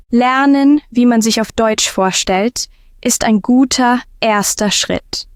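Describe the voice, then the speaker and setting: Female teacher narrator, slightly high, very measured and clearly enunciated. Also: no room sound, clean studio sound. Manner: slow, deliberate, clearly enunciated delivery. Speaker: German teacher. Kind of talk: scripted lesson narration.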